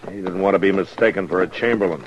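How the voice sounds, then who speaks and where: An actor playing a stern, weary frontier lawman, gruff and terse, with an American accent, in an old radio western. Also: low voice, quick pace, lightly acted. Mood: curt, weary. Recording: dull, hissy old radio recording.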